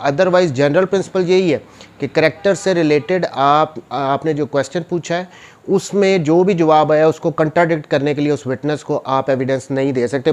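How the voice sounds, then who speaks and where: Higher-pitched Pakistani man lecturing. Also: a little mellow. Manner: switches between Urdu and English, reads legal text slowly and deliberately. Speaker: law lecturer and advocate. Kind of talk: informal law lecture explaining statutes.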